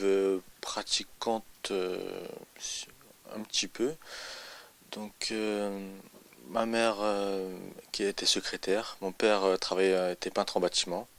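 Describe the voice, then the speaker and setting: A young man in his mid-twenties with a slightly low voice. He tells his own story unscripted, in a halting manner, often hesitating as he searches for the next words. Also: fairly even intonation, a bit bright. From Paris, France. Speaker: convert giving personal testimony. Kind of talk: autobiographical testimony, unscripted.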